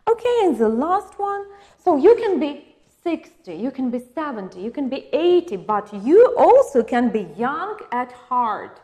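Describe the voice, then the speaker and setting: Ukrainian-accented woman teaching english, slow and patient, keeps asking the class questions. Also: high-pitched, very animated, mellow. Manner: patient, asks questions and confirms answers. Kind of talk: online English lesson for exam prep.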